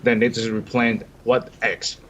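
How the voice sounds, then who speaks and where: A man from the product team answering questions on a stream, speaking carefully with halting phrasing. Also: mid-range voice, level tone, slight background noise. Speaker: product staff. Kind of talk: casual live stream chat.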